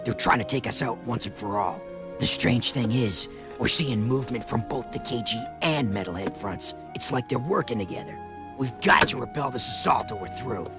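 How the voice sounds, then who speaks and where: A man's voice: mid-range voice, normal speed, over music, lightly acted. A man voicing a serious resistance commander in a video game, earnest and urgent as he briefs. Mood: grave, urgent. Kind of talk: video game cutscene acting.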